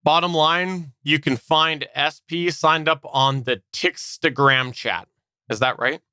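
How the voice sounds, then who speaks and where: A man's voice: fairly high pitch, slow pace, pushed and bright, smooth, no echo, studio quality. A man hosting a podcast in a casual, conversational discussion style. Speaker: podcast host.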